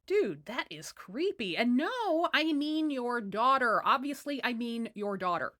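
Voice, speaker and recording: A woman's voice: a little high, big pitch swings, unhurried, sharp tone, heavily acted. A woman voicing a pushy, touchy male dog suitor in a fairy tale, with casual modern slang and a bossy manner. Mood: insistent.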